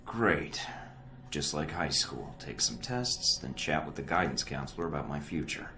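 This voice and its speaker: An actor playing a cocky young spy recruit in a video game, with a dry, sardonic delivery. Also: male voice, extremely low pitch, animated, normal speed. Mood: dry, confident. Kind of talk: video game cutscene acting. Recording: roomy sound with music behind.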